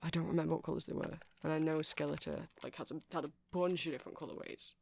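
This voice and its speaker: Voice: mid-range voice, fairly even intonation, quick pace, vocal fry, a little hiss or hum, lightly acted. A performer voicing a chatty, nerdy male vampire shopkeeper in an ASMR roleplay, rambling hesitantly and apologetically as they explain things. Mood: rambling, explanatory. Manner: hesitant, rambling, self-correcting. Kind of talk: ASMR roleplay, casual rambling sales chat.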